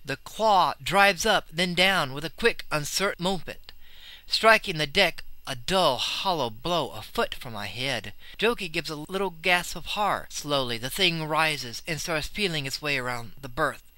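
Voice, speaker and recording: A man's voice: fairly high pitch, animated, moderate pace, bright, forceful tone, no room sound, rough recording. A man playing a terrified young sailor, telling a horror tale of the sea with intense dread. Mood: tense, horrified. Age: young adult. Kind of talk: dramatic first-person horror storytelling.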